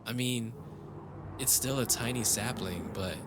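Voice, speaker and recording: A man's voice: mid-range voice, measured pace, heavy background noise, close to an everyday voice. A man playing a tender, wry young lover in an intimate cyberpunk romance audio drama, teasing and a little hesitant. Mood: soothing, tender. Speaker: audio roleplay voice actor.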